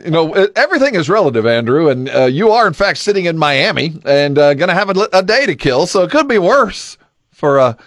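A man's voice: fairly high pitch, big pitch swings, a little fast, a bit bright, dry recording, clean studio sound. A man hosting a sports radio morning show, chatting casually with co-host and guests.

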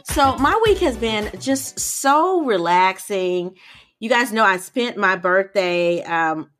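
A woman's voice: mid-range voice, animated, slow pace, a bit bright, faint music behind. A woman in her forties co-hosting a podcast, chatting emphatically and talking straight to her listeners. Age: 40s. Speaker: podcast co-host. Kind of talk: casual chat.

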